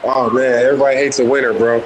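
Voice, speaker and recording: A man's voice: medium pitch, level tone, fast, noisy background. A man in his forties from East Palo Alto, chatting on a live stream with laid-back, easygoing teasing. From East Palo Alto, California. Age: 40s. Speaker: guest gamer. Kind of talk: casual stream banter.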